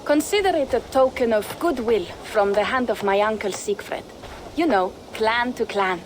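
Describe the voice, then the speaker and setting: A woman's voice: on the high side, moderate pace, background noise, lightly acted. A woman playing a proud, eager young Norse warrior envoy in a video game, speaking formally and persuasively in old-fashioned phrasing. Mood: welcoming. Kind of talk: video game dramatic dialogue. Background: background music.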